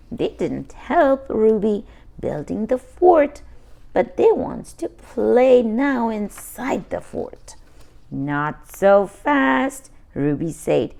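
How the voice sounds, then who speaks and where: Slow, lively woman reading a picture book. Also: medium pitch, creaky. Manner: slow and lively, pausing to add asides. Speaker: children's book reader.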